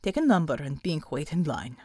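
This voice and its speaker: Gruff Russian male soldier voiced by a woman, deadpan, growling, pitched well down, for audiobook action. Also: fairly quick, no room sound, studio quality. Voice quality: low growl.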